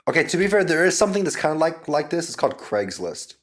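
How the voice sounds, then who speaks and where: A man's voice: on the high side, big pitch swings, fast talker, fairly bright tone. A young man co-hosting a casual chat podcast, who keeps pushing back in the argument. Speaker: podcast co-host.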